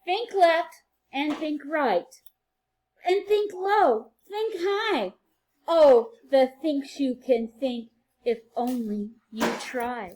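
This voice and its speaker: A woman teacher reading a picture book aloud to children, playful and sing-song, with quieter chatty asides. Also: sits high, drawn-out words.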